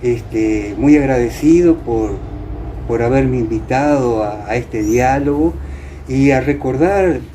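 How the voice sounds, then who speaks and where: An older man with an Argentine accent from Entre Ríos, reminiscing as a guest and often pausing to find his words. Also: medium pitch, dark tone, poor audio. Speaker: interview guest. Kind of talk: reminiscing in conversation. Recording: clear background noise.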